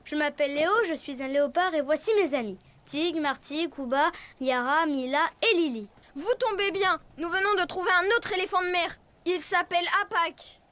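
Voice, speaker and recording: A woman's voice: very high-pitched, normal speed, pushed and bright. A woman voicing a kind, brave young male leopard cub in a cartoon dub, polite and eager. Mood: friendly. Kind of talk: cartoon dubbing.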